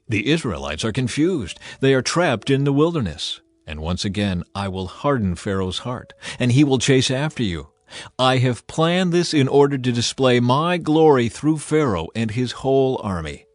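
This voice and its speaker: A man's voice: medium pitch, animated, moderate pace, dry recording, close to an everyday voice. A man voicing a stern, authoritative God giving commands in a dramatized scripture reading. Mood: commanding, resolute. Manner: authoritative, declarative. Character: commanding male God of Israel, stern and sovereign.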